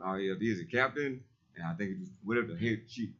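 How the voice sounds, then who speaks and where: Guy, big heavy loud voice, preachy and insistent, kinda muffled meeting audio. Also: lowish voice, a little fast. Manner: emphatic, repetitive, preacherly insistence. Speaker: resident speaking at public comment. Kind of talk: public comment at a council meeting.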